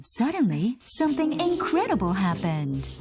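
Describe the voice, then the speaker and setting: A woman narrating a scripted children's fairy tale in an expressive storytelling manner. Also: on the high side, moderate pace.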